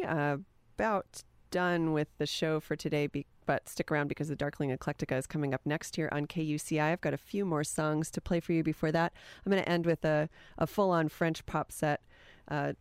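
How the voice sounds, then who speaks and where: A woman's voice: sits low, clear, resonant tone, no echo. A woman hosting a college radio music show, chatting in an easygoing, meandering way between songs in a studio. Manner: easygoing, meandering, enthusiastic about old records. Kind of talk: casual radio back-announcing and chat.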